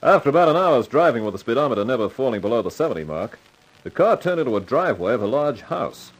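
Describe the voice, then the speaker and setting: An actor playing a wry, wisecracking hard-boiled investigator in a radio drama, with an American accent and husky voice. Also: male voice, mid-range voice, big pitch swings, fast talker. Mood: wry, tense. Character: wry, wisecracking hard-boiled detective narrating his case. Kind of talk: 1950s radio noir narration and dialogue.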